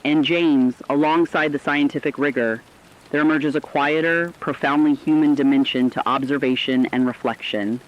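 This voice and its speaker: A man's voice: on the high side, steady tone, normal speed, highs cut off, natural voice. A man playing a solemn radio correspondent, reflective and formal, in a scripted catastrophe drama.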